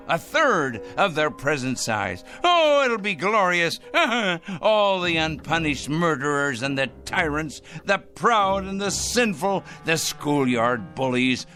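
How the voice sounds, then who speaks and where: Radio drama role: a self-righteous zealot, wildly swooping pitch, bright insistent edge, fussy and repetitive. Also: male voice, takes it slowly, hardly any pauses, faint music behind, heavily acted. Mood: gloating, fervent. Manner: fussy, excitable, repeating himself emphatically. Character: fanatical, self-righteous male crank obsessed with punishing evil.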